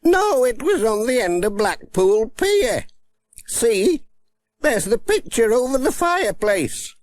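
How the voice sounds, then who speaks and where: A man's voice: very high voice, big pitch swings, slow, no echo, pitched above the performer's own voice, exaggerated voice. A man voicing a cheerful, unbothered old country granny in a children's storybook. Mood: cheerfully unbothered.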